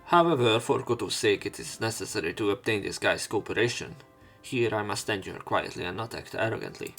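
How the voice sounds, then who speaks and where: A man's voice: lowish voice, animated, a little fast, gravelly, fairly bright tone. A man narrating and reading dialogue aloud for a visual novel let's play, sometimes stumbling over words. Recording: game music behind voice. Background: visual novel game music.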